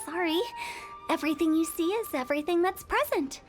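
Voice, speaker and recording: A woman's voice: extremely high pitch, brisk, faint music behind. An actress playing a mischievous, teasing young woman who runs a funeral parlor in a video game. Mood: playful. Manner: teasing, joking, with sly afterthoughts.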